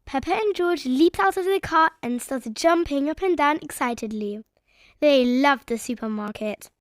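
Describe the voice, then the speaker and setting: A woman narrating a children's read-aloud story in an expressive, measured storytelling manner. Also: high-pitched, dry recording, studio quality.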